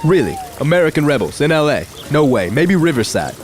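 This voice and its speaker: A man playing a blustering, suspicious conservative neighbor in a radio drama, loud and scoffing. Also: a little high, big pitch swings, fairly quick, a crowd in the background.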